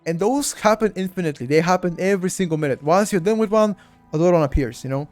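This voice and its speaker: Bulgarian guy streaming a game guide, high voice, chatty, keeps talking to chat. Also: quick pace, a little mellow, soft background music. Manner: chatty, addresses chat directly, repeats himself. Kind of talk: live-streamed gameplay walkthrough.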